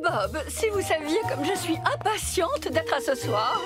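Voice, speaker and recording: Infatuated mayor, giddy, fluttery, high voice, very lively intonation, cartoon dub. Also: female voice, measured pace, over music, heavily acted. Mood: dreamy. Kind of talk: animated children's cartoon dubbing.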